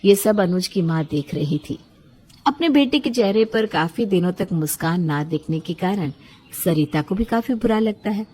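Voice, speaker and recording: Husky woman narrating a bedtime story. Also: medium pitch, animated, moderate pace, warm tone. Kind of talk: scripted bedtime-story narration in the third person.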